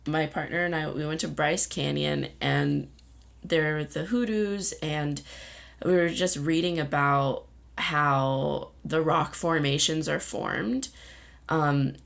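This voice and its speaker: A woman hosting a spiritual card reading in a gentle, meandering way, often searching for words, with a voice that keeps going in and out. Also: low-pitched, steady tone, slow, vocal fry. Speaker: intuitive card reader and channel host.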